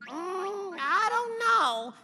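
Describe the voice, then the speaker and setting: Small cartoon swindler: scheming, cocky, creaky and very high, reverberant sound, music underneath. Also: male voice, big pitch swings, drawn-out words, heavily acted. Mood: crafty. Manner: cocky, wheedling. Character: small, boastful, scheming male jungle swindler. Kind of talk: cartoon voice acting. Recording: long reverb, music behind.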